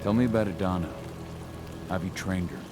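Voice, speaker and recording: An actor playing a brooding, guilt-ridden werewolf warrior in a video game, speaking in a terse, grave, restrained way. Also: male voice, low-pitched, fairly quick, over music, lightly acted. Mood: guarded, remorseful. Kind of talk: video game cutscene acting.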